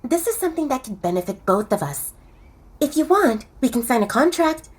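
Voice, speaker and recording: Woman playing a lively, high-voiced young she-wolf model. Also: fairly quick, slightly rough, heavily acted. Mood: urgent, businesslike. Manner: direct, persuasive. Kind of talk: dramatised audio romance.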